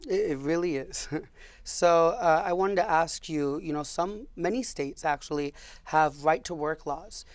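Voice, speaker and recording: A man's voice: a little high, unhurried, dry recording. Young man hosting a campus talk show. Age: young adult. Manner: relaxed, with fillers and repeats. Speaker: host. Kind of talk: public affairs interview.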